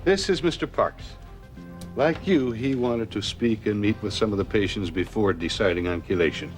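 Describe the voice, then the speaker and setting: A man's voice: lowish voice, moderate pace, soft background music, close to an everyday voice. A man playing a courteous doctor, polite and formal, in a scripted medical dramatization. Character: courteous male doctor introducing his patients.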